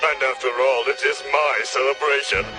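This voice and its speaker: A man's voice: medium pitch, fairly even intonation, moderate pace, natural voice. A man playing an evil demon king in an anime dub, theatrical and gloating, making grandiose, mock-courteous speeches with sinister relish. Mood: coldly cruel. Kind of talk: anime dub villain acting. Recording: loud music under the voice.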